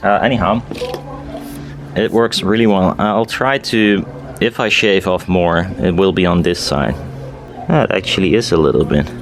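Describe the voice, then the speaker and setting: A man's voice: low voice, a little slow, music in the background. A man chatting casually and thinking aloud as he works on a DIY build, hesitant and often trailing off mid-thought. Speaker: DIY maker filming a build video. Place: outdoors in a garden. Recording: noisy outdoor garden recording.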